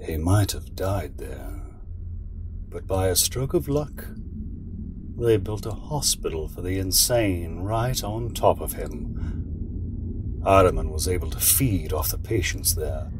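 A man playing a sinister villain in an audio drama, recounting dark history in a deliberate, theatrical way. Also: deep voice. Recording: clearly noisy background ambience.

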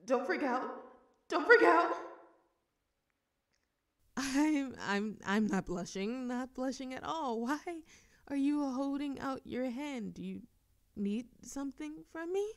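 A woman's voice: sits high, animated, no room sound, studio quality, heavily acted. A woman acting a nervous, hesitant young girlfriend. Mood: anxious inner thoughts, then flustered. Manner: hesitant, stammering, halting. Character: nervous, shy, affectionate young girlfriend who overthinks everything. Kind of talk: intimate roleplay audio drama. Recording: faint ambient noise behind voice.

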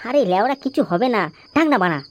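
Woman playing brash young male cartoon tough, cocky, foul-mouthed, very quick talker, faint background noise. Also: on the high side, expressive, close to an everyday voice. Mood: bossy. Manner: brash, bossy. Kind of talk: amateur comedy cartoon dub.

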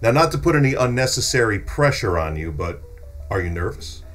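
A man's voice: medium pitch, sing-song, brisk, fairly bright tone, soft background music. A middle-aged man playing a genial, teasing local podcast host in a scripted interview. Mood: curious. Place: small furnished podcast studio. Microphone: studio microphone on a desk stand.

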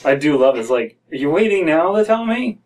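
A young American man giving casual, comedic commentary as a co-host, in a halting way that repeats words. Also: a little high, big pitch swings, a little mellow. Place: small dim room indoors. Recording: somewhat distant from mic.

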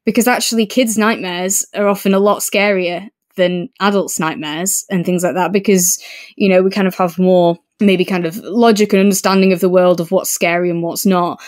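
A woman's voice: fairly low pitch, steady tone, moderate pace. A woman co-hosting a podcast, discussing books casually in an earnest, opinionated way. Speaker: podcast co-host.

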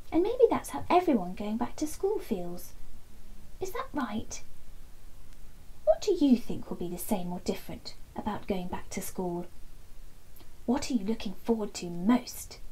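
A woman in her thirties reading a children's bedtime story expressively, with dramatic emphasis and hushed passages. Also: a little high, breathy edge, poor audio. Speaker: storybook reader. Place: small indoor nook lined with soft toys.

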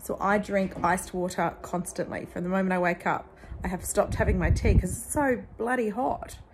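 A woman's voice: on the low side, normal speed, noisy background. A woman in her thirties with an Australian accent, chatting casually and bluntly in a book vlog, filmed on a phone at arm's length at home. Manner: chatty, blunt, exasperated asides. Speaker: book vlogger. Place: car, then living room at home. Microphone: phone camera at arm's length.

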